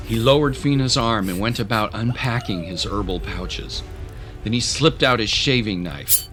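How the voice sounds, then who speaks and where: A man's voice: on the low side, normal speed. A man narrating a scripted audio drama as a dramatic storyteller. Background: music.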